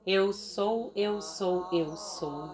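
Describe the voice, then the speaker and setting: Slow-speaking Brazilian woman guiding meditation over music. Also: mid-range voice. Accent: Brazilian Portuguese. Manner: soothing, deliberate, with long pauses. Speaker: meditation guide. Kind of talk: guided meditation.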